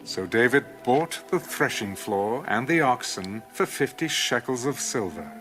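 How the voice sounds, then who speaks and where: A man narrating scripture in a solemn, measured, scripted style. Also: medium pitch, expressive, natural voice. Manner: measured, deliberate. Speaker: narrator of a dramatized audio Bible. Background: music.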